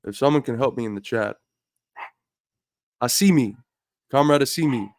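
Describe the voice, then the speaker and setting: A man's voice: mid-range voice, normal speed, slight background noise. A man hosting a livestream, talking earnestly and building to emphatic points while feeling under the weather. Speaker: livestream host. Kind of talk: casual livestream talk.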